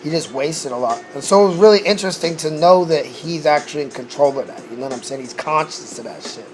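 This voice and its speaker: A man's voice: fairly high pitch, fast talker, slight background noise, poor audio. A man who says he is losing his voice, recapping a rap battle in a casual, profane way and often saying "you know what I'm saying".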